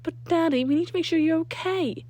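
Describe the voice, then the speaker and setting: A woman's voice: very high-pitched, a little fast, dark tone, voice pushed up. A woman voicing a worried, hot-tempered mother in a story, insistent and scolding.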